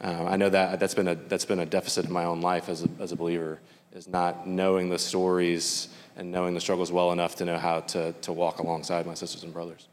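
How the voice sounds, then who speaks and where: Man, very deep voice, measured and pausing, panel guest, long hall reverb. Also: monotone, slight background noise. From Middle Georgia, US. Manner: slow, measured, with many pauses and fillers. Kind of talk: personal testimony in a panel.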